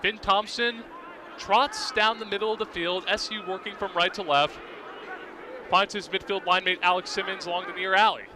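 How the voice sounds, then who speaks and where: High-pitched young man calling sports, noisy background. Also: normal speed. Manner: vivid, clipped present-tense calls, excited on shots. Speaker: play-by-play announcer. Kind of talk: live radio sports commentary. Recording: outdoor broadcast with clear background noise.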